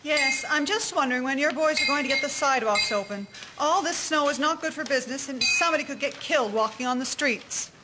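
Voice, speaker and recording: A woman's voice: sits high, fairly quick, few pauses, natural voice. A woman playing an indignant townswoman complaining by telephone in a historical radio drama. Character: indignant townswoman phoning to complain about unshovelled sidewalks. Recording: very noisy recording.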